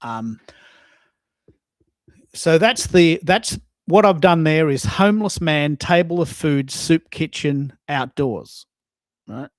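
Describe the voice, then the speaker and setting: A man with a fairly high-pitched voice hosts a casual live audio show. The sound is dry, with no room echo. He thinks aloud, stumbles and repeats phrases while speaking to his listeners. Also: animated. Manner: halting, repeats himself, talks to listeners.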